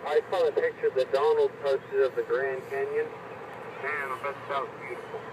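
A man's voice: mid-range voice, fairly even intonation, normal speed, background noise. A man chatting casually as an amateur radio operator. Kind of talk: casual ham radio conversation.

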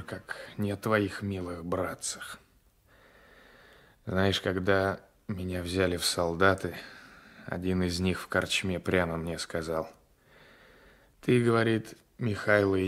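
An actor playing a brooding, passionate gendarme and former lover with a menacing streak, in a radio drama. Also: male voice, sits low, steady tone, a little slow. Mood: bitter, passionate. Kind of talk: Soviet 1980s radio drama acting.

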